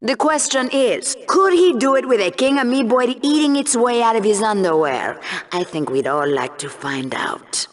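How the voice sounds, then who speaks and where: A woman plays a sardonic co-anchor on a video game combat show. Her voice is fairly high and very lively, with theatrical swings and a snide, mean streak. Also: normal speed, close to an everyday voice. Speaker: co-host. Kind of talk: scripted video game sports banter.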